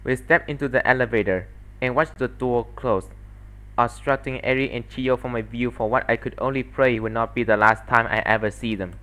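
A man's voice: mid-range voice, steady tone, normal speed, sharp tone, low-quality recording. A man reading scripted story narration as the solo narrator of a fan dub. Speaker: solo fandub performer and narrator.

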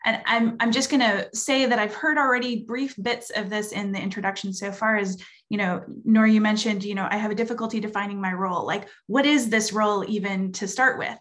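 A woman's voice: medium pitch, steady tone, fairly quick, fairly bright tone. A woman chairing a panel, informally thanking guests and putting questions to them. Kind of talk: informal moderating.

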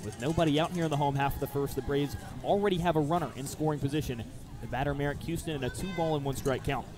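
A man's voice: fairly high pitch, fast, music in the background. A man calling live baseball play-by-play for radio, mixing clipped phrases with detailed description.

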